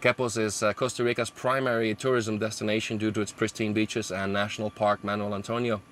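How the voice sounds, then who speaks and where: A man in his forties with a fairly low voice speaks to a close camera from a small plane's cockpit. He talks in a relaxed, explanatory way, stopping often on small fillers, and a faint hum of ambient noise sits behind him. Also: a little fast. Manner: relaxed, explanatory, with frequent hesitations. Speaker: airline captain. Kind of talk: casual presentation to camera. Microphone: camera close in front of him.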